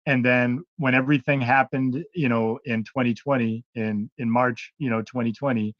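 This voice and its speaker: A man's voice: mid-range voice, steady tone, moderate pace, dry recording, lo-fi sound. Hesitant man from Connecticut on a call. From Connecticut, USA. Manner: hesitant, many fillers. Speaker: caller. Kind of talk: casual call introduction.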